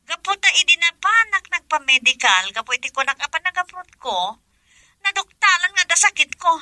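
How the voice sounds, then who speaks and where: A woman's voice: very high-pitched, very animated, brisk, sharp tone, no room sound, heavily acted. A woman with an Ilocano accent playing an ill young wife who hides from her husband in a radio drama, subdued and evasive.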